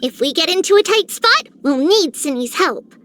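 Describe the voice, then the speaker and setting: A woman's voice: extremely high pitch, lively intonation, moderate pace, fairly bright tone, a little hiss or hum. A woman voicing a bubbly, affectionate little girl in a video game, childlike and friendly. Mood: cheerful. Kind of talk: scripted game character lines.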